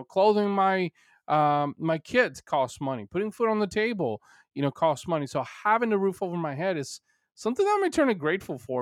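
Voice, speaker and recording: A man's voice: mid-range voice, no echo, studio quality. A man born in Bulgaria, a native Bulgarian speaker, hosting a solo podcast in an earnest, rambling way full of "you know" fillers. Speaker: podcast host.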